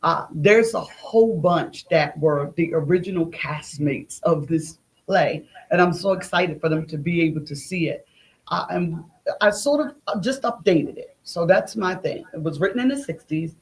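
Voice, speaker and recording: A woman's voice: fairly low pitch, moderate pace, low-quality recording. A middle-aged woman talking conversationally as an interview guest, with an emphatic, folksy storytelling manner. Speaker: playwright and director, interview guest.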